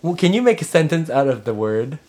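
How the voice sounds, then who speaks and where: A woman's voice: deep voice, sing-song, a little fast, warm tone. A woman hosting a casual podcast chat, teasing her co-host, laughing often and steering the talk.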